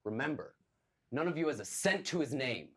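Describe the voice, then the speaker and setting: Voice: medium pitch, lively intonation, a little fast, a bit bright, slightly rough. Stage actor doing a pompous little tyrant, theatrical, big swoopy grandiose speeches. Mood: gloating, commanding. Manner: grandiose, declaiming, dramatic. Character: pompous, power-drunk actor lording a dollar over his troupe. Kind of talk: theatrical stage play acting.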